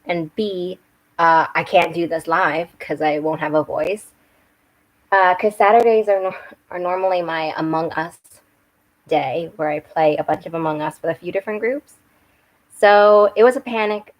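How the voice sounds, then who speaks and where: Young woman a bit hoarse, lower voice, rambly webcam chat at home. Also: normal speed, rough recording. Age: young adult. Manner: rambling, chatty, with restarts and self-corrections. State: slightly hoarse. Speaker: book and makeup channel host. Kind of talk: casual live-stream chat while doing makeup. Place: home room with bookshelves.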